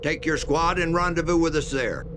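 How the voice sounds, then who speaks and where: A man's voice: a little high, normal speed, noisy background, lightly acted. A man playing a formal, commanding military leader in a video game. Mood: solemn command. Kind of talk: video game character acting.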